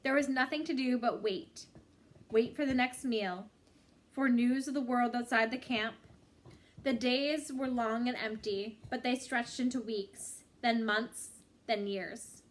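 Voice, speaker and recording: A woman reading a book chapter aloud in a measured, expressive storytelling style with drawn-out phrases. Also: on the high side.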